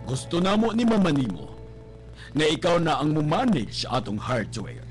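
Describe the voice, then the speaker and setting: A man playing an approving middle-aged father and business owner in a radio drama, fatherly and expressive, with a Cebuano Filipino accent. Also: a little high, moderate pace. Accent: Filipino, Cebuano-speaking. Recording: soft music behind live radio capture.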